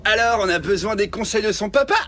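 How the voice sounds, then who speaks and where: An actor playing a goofy, excitable, clumsy and affectionate father in an animated series. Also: sits high, quick pace, lightly acted. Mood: earnest storytelling.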